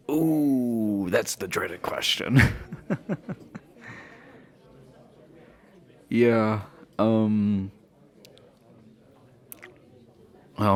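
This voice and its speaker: Man acting as goofy himbo hockey player, lowish voice, very unhurried pace, playful and teasing, casual audio roleplay. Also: close to an everyday voice. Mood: teasing, curious, then flirty, bashful.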